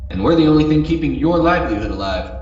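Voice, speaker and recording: A man playing a shrewd, defiant rural miner in a retro radio horror drama, with a dry, unhurried, folksy way of talking. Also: on the high side, lively intonation, a little mellow, close to an everyday voice. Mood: calm menace. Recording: muffled, echoing cave-like sound with noise.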